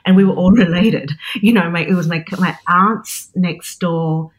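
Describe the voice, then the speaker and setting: Interview guest: Australian chef, 40s, deep for a woman, reflective, hesitant. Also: a little slow, breathy edge, rough recording. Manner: thoughtful, many fillers and restarts. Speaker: guest, chef and cookbook author.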